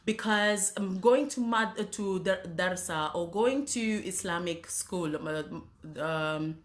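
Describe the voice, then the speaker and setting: Woman with halting speech and coughs. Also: fairly low pitch. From Zanzibar. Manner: halting, many fillers and restarts, frequent throat clearing. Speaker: speaker sharing her faith story. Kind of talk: personal testimony.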